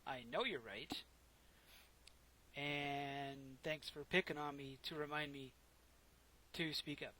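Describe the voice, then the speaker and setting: A soft-spoken man casually narrating his own gameplay, rambling and correcting himself as he goes, close to a desk microphone. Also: fairly high pitch, fairly even intonation, slow pace, long pauses, slight background noise, poor audio. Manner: rambling, self-correcting, chatty. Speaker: gameplay commentator. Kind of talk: casual let's play commentary.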